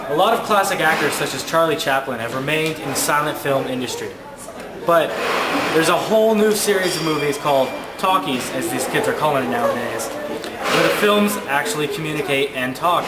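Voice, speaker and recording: A man's voice: on the high side, close to an everyday voice. A man playing an enthusiastic, gee-whiz 1920s movie-news reporter in an amateur mock broadcast. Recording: echoey room, loud background noise.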